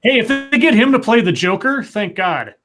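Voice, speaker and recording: A man chatting on a live online show, with a voice pitched slightly high. He talks casually, corrects others on movie trivia, and fills gaps with hesitations. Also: animated, normal speed, over music. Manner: conversational, corrects others, hedges with fillers.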